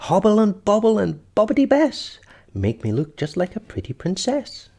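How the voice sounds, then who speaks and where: A man's voice: high-pitched, huge pitch swings, normal speed, a little mellow, pitched above the performer's own voice, full character voice. A man voicing a gleeful, boastful young witch who chants rhyming spells in a storybook.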